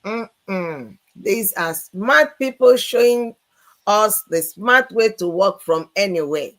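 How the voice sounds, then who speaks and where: A woman's voice: mid-range voice, lively intonation, slow. A woman, co-hosting a live business chat and introducing panelists in an enthusiastic, promotional way. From Nigeria. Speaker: co-host.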